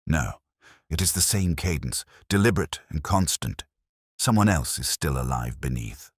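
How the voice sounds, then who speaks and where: A man voicing a grave, precise Victorian detective in a storybook reading, speaking deliberately and tersely. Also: rumbling low, expressive, breathy edge, dry recording, studio quality, exaggerated voice. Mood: grave, alert.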